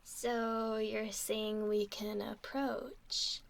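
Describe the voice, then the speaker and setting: Woman doing a flirty mischievous mermaid, asmr, highish whispery voice, crackly, takes her time. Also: little pitch movement, extremely slow, full character voice. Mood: alluring, coy. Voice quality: breathy, often whispery.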